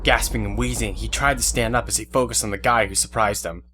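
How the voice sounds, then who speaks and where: A man's voice: on the low side, fairly even intonation, quick pace, slight background noise. A man reading scripted action narration for an audio drama. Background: loud music with guitar.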